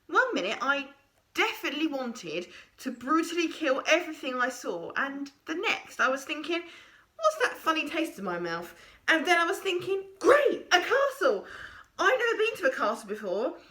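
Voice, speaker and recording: A huge male monster with the mind of an excited child, rambling loudly from one thought to the next in a bright, high, bouncing voice, as a woman reading a storybook puts him on. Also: moderate pace, full character voice. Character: giddy forgetful hulking male monster, childlike.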